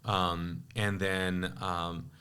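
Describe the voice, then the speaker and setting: A man in his forties who grew up in Kuwait, speaking Urdu as his first language, telling his story as a pastor in a relaxed interview, with lots of fillers and restarts. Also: low-pitched, very slow, smooth. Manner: rambling, many fillers and restarts. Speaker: pastor and podcast guest.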